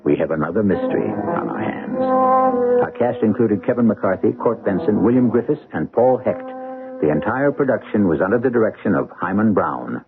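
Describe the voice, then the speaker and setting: Guy hosting old radio mystery show, low voice, dramatic swoops, narrow tinny sound. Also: sing-song, moderate pace, over music. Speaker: host of radio mystery series. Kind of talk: scripted dramatic narration.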